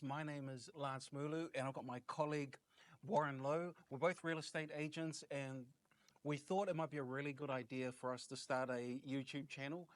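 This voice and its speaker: A man hosting a podcast, chatting casually as he interviews his guest. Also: mid-range voice. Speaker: podcast host.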